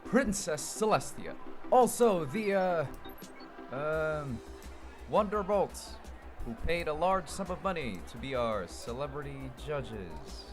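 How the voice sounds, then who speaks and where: A man's voice: fairly high pitch, very animated, takes it slowly, over music. A man voicing a showy cartoon pony who announces a contest. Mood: showy, awkward. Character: showy male pony contest announcer, stumbling over his script. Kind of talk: cartoon parody event announcing.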